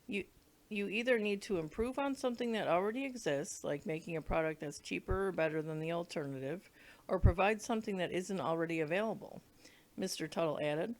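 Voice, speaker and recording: A woman's voice: fairly low pitch, a little fast. A woman reading a children's book aloud, now and then stumbling over words and rereading them. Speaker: read-along reader.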